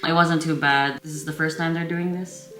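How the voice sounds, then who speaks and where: Slightly hoarse low-voiced woman, echoey. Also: fairly even intonation, moderate pace, a bit bright, faint music behind. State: tired. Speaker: vlogger. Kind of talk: casual vlog chat.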